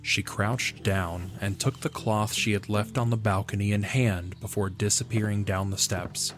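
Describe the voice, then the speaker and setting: A man's voice: lowish voice, steady tone, normal speed. A man reading scripted story narration for an audio drama, in the manner of an audiobook. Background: background music.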